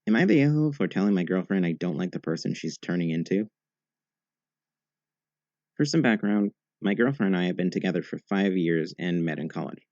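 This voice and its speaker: A man narrating online posts in a steady, even reading voice. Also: low-pitched, no room sound. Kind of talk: scripted narration. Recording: slightly dull, dry recording.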